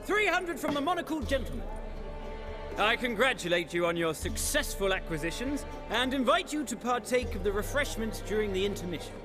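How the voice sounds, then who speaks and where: An actor playing a courteous, ceremonious auctioneer in a video game, announcing formally and theatrically. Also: male voice, high voice, sing-song, fairly quick. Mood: brisk, excited. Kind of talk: video game cutscene acting. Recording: music behind the voice.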